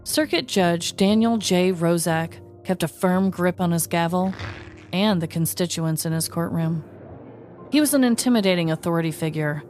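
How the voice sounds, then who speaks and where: A woman's voice: fairly low pitch, normal speed, darkish tone, soft background music. Wry woman narrating comedic true crime. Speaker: podcast host and storyteller.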